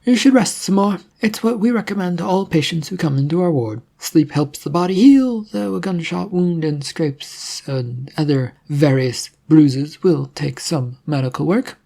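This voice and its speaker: A storyteller voicing a brisk, attentive female hospital nurse in a story, matter-of-fact and reassuring. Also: mid-range voice, gravelly, mellow, voice pushed up.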